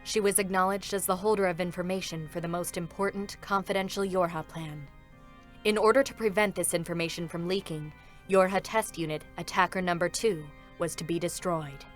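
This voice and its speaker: A woman with a fairly low voice narrates a dramatic game story. Her delivery is measured and formal, clearly scripted, with music playing behind her. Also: steady tone.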